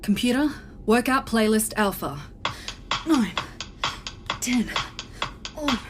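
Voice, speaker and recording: A woman's voice: on the high side, expressive, takes it slowly, heavy background noise. A woman playing a proud, disciplined military starship co-captain in a sci-fi comedy audio drama, formal, earnest and officious. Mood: brisk, officious. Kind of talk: scripted science-fiction comedy audio drama.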